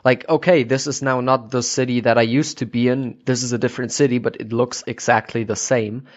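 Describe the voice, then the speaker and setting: A man's voice: medium pitch, fairly even intonation, a little fast. Dull lo-fi recording, man interviewing. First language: German. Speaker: podcast host, interviewer. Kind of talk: casual interview chat. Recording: dull lo-fi audio.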